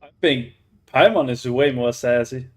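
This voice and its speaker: A man livestreaming a game and chatting casually, halting and repeating himself. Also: medium pitch. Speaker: game streamer.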